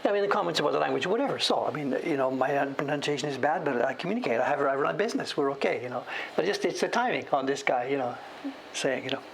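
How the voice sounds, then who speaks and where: A man's voice: mid-range voice, lively intonation, fast, vocal fry, slight background noise, low-quality recording. A man chatting casually on a livestream while he carves, rambling and self-deprecating, chuckling as he tells a story. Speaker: streamer and woodblock print carver.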